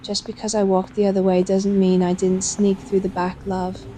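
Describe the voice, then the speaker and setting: Casting: actress as arrogant secret murderess, mellow and even-pitched, mocking murmur, audio roleplay, light background noise. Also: female voice, moderate pace, close to an everyday voice. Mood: smug, amused. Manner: self-satisfied, mocking murmur. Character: smug, arrogant female killer who feigns sweet innocence. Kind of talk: dramatic roleplay dialogue.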